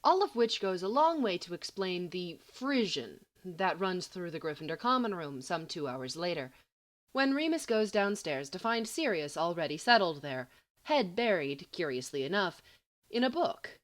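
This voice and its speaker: A woman reading a story aloud as an amateur audiobook narrator, in an expressive, dramatic and wry manner. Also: lowish voice, normal speed. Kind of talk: expressive scripted fiction narration.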